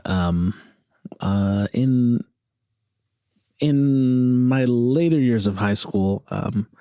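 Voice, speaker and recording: A man raised in southern Africa and Virginia talks casually as an interview guest, halting and correcting himself as he thinks aloud. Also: on the low side, mellow, no room sound, clean studio sound. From southern Africa (South Africa, Zambia) and Virginia, US. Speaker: interview guest, singer-songwriter.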